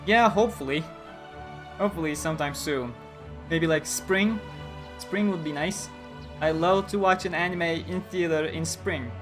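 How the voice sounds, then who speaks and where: Rambling Turkish-accented streamer, faint music. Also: male voice, fairly high pitch, moderate pace. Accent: Turkish-accented English. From Turkey. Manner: rambling, reflective, frequent restarts. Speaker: livestream host. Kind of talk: casual livestream chat.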